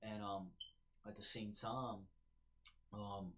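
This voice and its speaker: A man's voice: fairly low pitch, level tone, takes it slowly, fairly bright tone, a little hiss or hum. A man with a New York accent hosting a solo podcast, rambling with many fillers and restarts. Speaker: podcast host.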